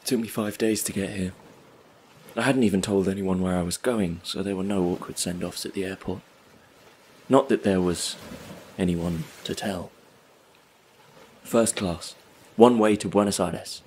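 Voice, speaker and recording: A man's voice: deep voice, fairly even intonation, normal speed, long pauses, darkish tone, slight background noise, lightly acted. An actor playing a wistful, solitary drifter who tells his story in a reflective, wry monologue for an audio play.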